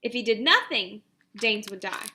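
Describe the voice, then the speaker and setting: A woman, an elementary teacher reading a picture book aloud and giving pupils instructions in an expressive, deliberate way. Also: mid-range voice, a little hiss or hum.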